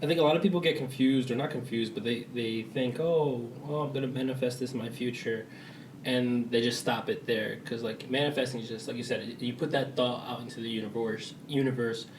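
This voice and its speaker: A man's voice: medium pitch, steady tone, brisk, echoey room, distant mic, a little hiss or hum, rough recording. A young man on a casual podcast, explaining his views at length. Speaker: podcast co-host. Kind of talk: casual chat.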